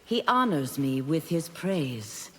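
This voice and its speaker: A woman's voice: low voice, very animated, slow, fairly bright tone, in a large hall, a little hiss or hum, full character voice. A woman voicing an earnest, resolute demon warrior seeking redemption in a video game, with formal speech. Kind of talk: video game fight-intro dialogue.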